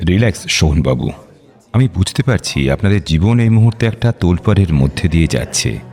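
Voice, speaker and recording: An actor playing a calm male psychiatrist in a radio drama, reassuring and explanatory in manner. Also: low voice, brisk.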